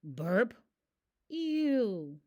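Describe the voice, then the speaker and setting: An eager little storybook girl, read by a woman in an expressive voice. The pitch swings widely through the rhymes while the pace stays unhurried, with an exasperated edge. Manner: animated, expressive. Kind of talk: rhyming children's picture book narration.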